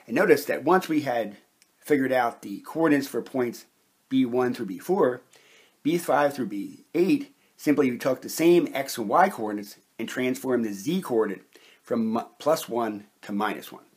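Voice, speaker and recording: Husky man teaching step by step. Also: mid-range voice, lively intonation, normal speed. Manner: methodical, explanatory. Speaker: chemistry lecturer. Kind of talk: step-by-step whiteboard lesson narration.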